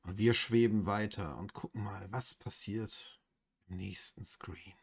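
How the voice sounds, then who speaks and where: A German man comments casually on a video game as its host. His voice sits fairly low and has a rough, husky grain. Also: fairly even intonation, a little slow. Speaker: let's play host.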